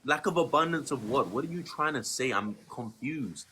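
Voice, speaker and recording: A man's voice: mid-range voice, animated, normal speed, pushed and bright, slight background noise. A man hosting a live-stream debate, grilling callers with repeated questions in a condescending tone. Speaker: debate host.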